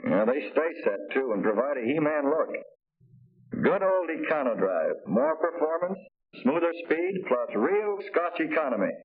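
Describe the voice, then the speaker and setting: A man's voice: a little high, huge pitch swings, moderate pace, vocal fry, husky, full character voice. An actor playing a practical, eager man admiring a new car in a chatty, emphatic 1940s promotional film style. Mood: eager, proud. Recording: tinny 1940 film soundtrack.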